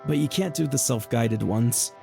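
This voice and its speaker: A man's voice: medium pitch, normal speed, vocal fry, over music. A man voicing an easygoing, apologetic store clerk in a visual novel game. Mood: relaxed, apologetic.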